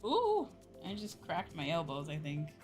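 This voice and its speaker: A woman's voice: low-pitched, huge pitch swings, measured pace, a little mellow. A woman chatting casually on a livestream as a VTuber, giving opinions with frequent tag questions and some swearing. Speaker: VTuber streamer. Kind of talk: casual livestream chat while gaming. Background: video game music.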